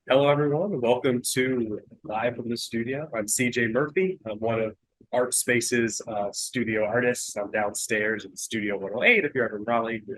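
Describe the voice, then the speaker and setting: A man's voice: medium pitch, normal speed, dry recording, low-quality recording. A young man from North Carolina giving a casual art demonstration, sounding nasal from a cold and chatting loosely with lots of fillers, recorded in his studio over earbuds. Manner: relaxed, chatty, with many fillers and restarts. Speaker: mixed-media artist giving a demo. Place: home art studio, indoors.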